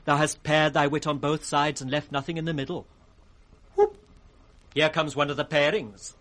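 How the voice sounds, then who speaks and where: An actor playing a witty, impudent court fool in radio Shakespeare, with a sing-song, riddling delivery. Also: male voice, a little high, steady tone, moderate pace, a bit bright, natural voice. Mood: teasing. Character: witty, mocking court fool, teasing the king. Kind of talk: 1960s British radio Shakespeare acting, with sung rhymes. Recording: vintage 1960s radio drama recording.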